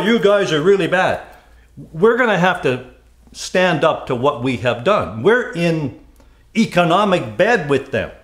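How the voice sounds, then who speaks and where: Lively man in a roomy-sounding space. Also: fairly high pitch. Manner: informal, rhetorical questions. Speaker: talk show co-host. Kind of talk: opinionated conversational political commentary.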